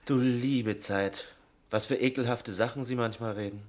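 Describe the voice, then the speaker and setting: An actor playing a respectful but blunt companion to a professor in a German radio drama. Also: male voice, medium pitch, fairly even intonation, normal speed, a little mellow, natural voice. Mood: reproving.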